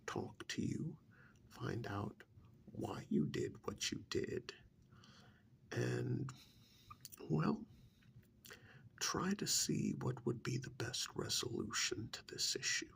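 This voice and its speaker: A man playing a calm, menacing vampire emissary in a soft-spoken ASMR role-play, half-whispering in a slow, condescending way. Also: mid-range voice, heavily acted. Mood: stern, quietly accusing. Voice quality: breathy, half-whispered, creaky. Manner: slow, deliberate, condescending, hesitant pauses.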